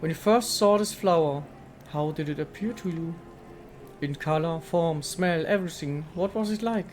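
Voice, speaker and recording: A man's voice: fairly high pitch, moderate pace, darkish tone, slight background noise, lightly acted. A man voicing an ancient female nature spirit from a video game, speaking in a serene, formal, poetic manner. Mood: gracious, wistful. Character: ancient female statue goddess spirit, serene, aloof, contemplative. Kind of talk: dramatic reading of video game dialogue.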